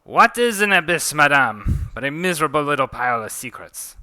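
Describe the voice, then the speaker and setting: Webcomic-style French vampire duelist, played by a man, grandiose and taunting, bright forceful delivery, dry close sound. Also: on the high side, big pitch swings, fairly quick, no echo, voice pushed up, heavily acted. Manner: grandiose, mockingly courteous.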